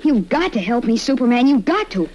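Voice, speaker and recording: An urgent, pleading boy hero from a vintage radio serial. The voice is high and soft-edged, on a dull old recording. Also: big pitch swings, brisk, slight background noise. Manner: earnest, urgent. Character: anxious, pleading young boy hero's sidekick.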